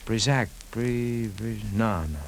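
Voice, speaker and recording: An actor playing a chatty, nervous, loyal American barkeep in a 1950s radio drama, talking in a folksy, hesitant and excitable way. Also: male voice, lowish voice, takes it slowly, slight background noise. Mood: flustered, protesting. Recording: old radio broadcast with music.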